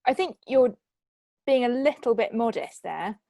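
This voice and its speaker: A woman's voice: on the high side, animated, unhurried, creaky, dark tone, no room sound, studio quality. A woman moderating a question-and-answer discussion as host and producer.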